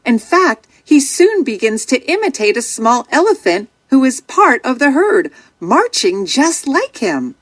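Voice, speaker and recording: A woman narrating a children's storybook from a script in an expressive, dramatic storytelling manner. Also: high voice, unhurried, few pauses.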